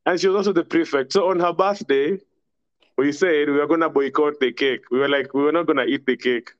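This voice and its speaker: A man's voice: fairly high pitch, brisk, dry recording, lo-fi sound. A young man with a Kenyan accent, a podcast guest telling stories from school in a rambling, casual way. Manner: rambling, laughs often, mixes in Swahili. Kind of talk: casual storytelling chat.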